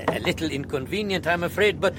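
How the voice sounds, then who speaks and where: An actor playing a polite ship's master in a radio drama, halting, apologetic and a little secretive. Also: male voice, lightly acted. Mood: courteous, uneasy. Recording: noisy vehicle-like rumble behind the voice.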